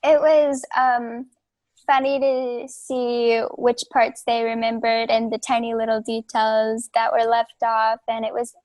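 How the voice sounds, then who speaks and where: A woman student speaks slowly and evenly in a class discussion, with a slightly high, flat voice. The sound is dry. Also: dry recording. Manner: slow and measured. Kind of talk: sharing thoughts in class.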